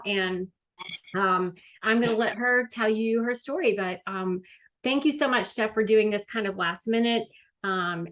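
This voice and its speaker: A woman's voice: mid-range voice, a bit bright. Chatty woman host introducing a guest. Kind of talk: casual introduction.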